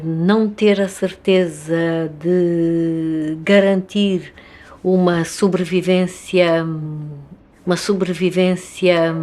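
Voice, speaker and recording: A woman's voice: fairly low pitch, a little mellow. An older woman from Angola with an Angolan Portuguese accent, speaking reflectively and hesitantly in a documentary interview. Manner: slow, hesitant, thoughtful with many pauses.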